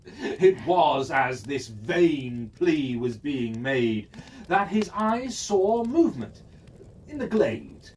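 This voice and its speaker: A storyteller reading a rhyming fairy tale for children in a measured, sing-song cadence. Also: mid-range voice, darkish tone. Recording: distant mic, faint hiss.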